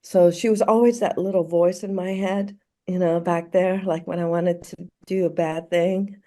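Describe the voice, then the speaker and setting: A woman from Morocco, a podcast guest, speaking warmly and reflectively, halting often with fillers and restarts. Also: fairly low pitch, fairly even intonation. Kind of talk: casual interview conversation.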